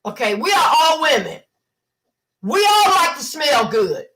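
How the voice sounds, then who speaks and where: A woman's voice: high voice, big pitch swings, measured pace, noisy background. A woman, hosting a casual livestream and talking bluntly with mocking impressions. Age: 50s. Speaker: livestream host.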